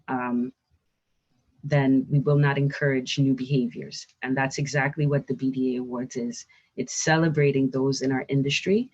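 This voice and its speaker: A man's voice: medium pitch, darkish tone, dull audio. A man speaking as a panelist, giving personal remarks in an earnest, heartfelt manner. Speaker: panelist, construction trade mentor.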